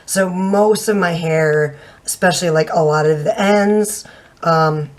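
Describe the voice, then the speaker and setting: A woman in her thirties, slightly hoarse from little sleep, giving a frank, chatty hair dye review to a camera at home. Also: on the low side, measured pace. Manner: frank and chatty, casual swearing. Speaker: beauty vlogger. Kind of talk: casual product review to camera. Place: home room with shelves, indoors.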